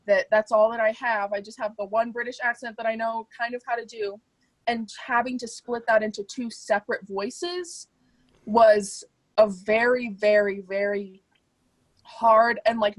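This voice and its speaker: A woman's voice: fairly high pitch, moderate pace, no room sound, poor audio. A woman co-hosting a podcast, chatting casually and expressively, with many "like" fillers. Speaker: podcast co-host. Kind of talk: casual chat.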